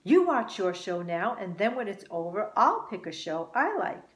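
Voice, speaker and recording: A woman voicing an excitable young boy in a children's story, emphatic and earnest. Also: mid-range voice, normal speed, close to an everyday voice. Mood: eager. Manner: emphatic, earnest, loud when riled. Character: excitable young boy, argumentative but eager to be kind. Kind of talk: acted children's storybook dialogue. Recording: roomy, echoing sound.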